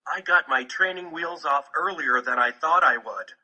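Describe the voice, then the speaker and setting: A man's voice: on the high side, normal speed, pushed and bright. A man reading a children's picture book aloud as scripted narration.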